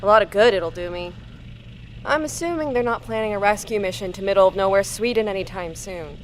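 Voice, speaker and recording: A woman's voice: mid-range voice, fairly quick, close to an everyday voice. Actress as a weary woman survivor, faint noise. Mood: weary, wry. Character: Angela, weary, shaken, profane woman survivor of an apocalypse. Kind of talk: audio drama acting.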